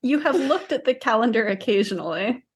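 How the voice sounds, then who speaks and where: A woman's voice: on the high side, animated, fairly quick, slightly rough. A woman co-hosting a podcast in casual chat, matter-of-fact with a dry, teasing streak. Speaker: podcast co-host.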